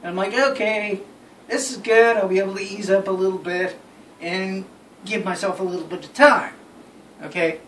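A man's voice: high-pitched, steady tone, normal speed, fairly bright tone. Young man telling a story, roomy and distant. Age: young adult. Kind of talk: casual anecdote among friends. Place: small bedroom, indoors. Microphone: phone camera a few feet away. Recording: roomy, distant phone mic with noise.

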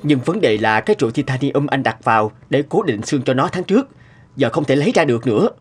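A man's voice: mid-range voice, animated, quick pace, faint music behind, close to an everyday voice. A man voicing a mild, caring married doctor in a cartoon, explaining things conversationally. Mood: serious, explaining. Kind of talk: Vietnamese cartoon dubbing.

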